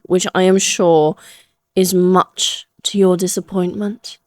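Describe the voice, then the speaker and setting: A woman's voice: fairly low pitch, a little mellow, no room sound. A woman playing a playful, flirtatious immortal vampire in a Victorian fantasy audio roleplay, teasing in genteel, archaic phrasing. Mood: haughty, taunting.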